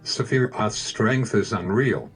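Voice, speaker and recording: A man's voice: on the low side, takes it slowly. A man playing a brooding young ex-soldier who narrates his past in a fan dub, serious and reflective. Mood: awed. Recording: loud music over the voice. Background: loud game music.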